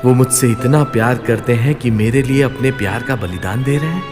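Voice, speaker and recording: An actor playing a proud, devoted young crown prince in an animated myth, speaking in solemn declamations. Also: male voice, medium pitch, brisk, over music, close to an everyday voice. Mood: pensive. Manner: declamatory, solemn vow-making. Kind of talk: dramatic animated mythological dialogue.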